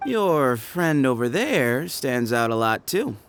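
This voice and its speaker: Sly, teasing gang leader. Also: male voice, mid-range voice, big pitch swings, takes it slowly, a little hiss or hum. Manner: teasing, playful and evasive. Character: sly smirking leader of a hidden band of men. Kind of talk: video game voice acting.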